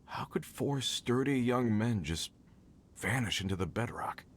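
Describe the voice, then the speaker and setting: An actor playing an earnest, worried mine foreman in a video game who speaks gravely. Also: mid-range voice, expressive, measured pace. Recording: game audio with background music. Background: game music.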